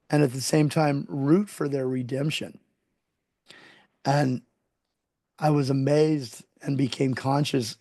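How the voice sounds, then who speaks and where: A male interview host with a gravelly, creaky voice talks with effusive, gushing enthusiasm, often restarting his phrases. He is recorded dry and close, with no room around him. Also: mid-range voice, darkish tone, dry recording. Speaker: show host.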